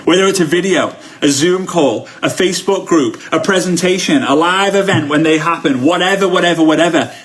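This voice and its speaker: A man with a Liverpool accent giving a motivational online training talk as a coach, excitable and emphatic, repeating phrases for effect. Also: fairly high pitch, fairly quick, hardly any pauses. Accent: Liverpool English. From Liverpool, United Kingdom. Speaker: network marketing coach.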